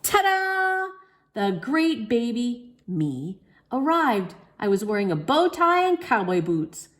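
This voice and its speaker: A woman's voice: on the high side, big pitch swings, takes it slowly, a bit bright, voice pushed up, heavily acted. A woman voicing an eager, boastful, imaginative little boy in a storybook reading. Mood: proud, excited. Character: imaginative excited little boy telling his own birth story.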